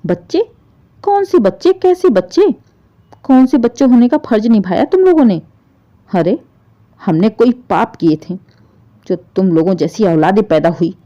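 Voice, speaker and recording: Woman acting out elderly widowed father, proud and hurt, emphatic, mellow tone, moral-story drama, dull audio. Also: medium pitch, animated, unhurried, long pauses, lightly acted. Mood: outraged. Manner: emphatic, emotional pleading and scolding.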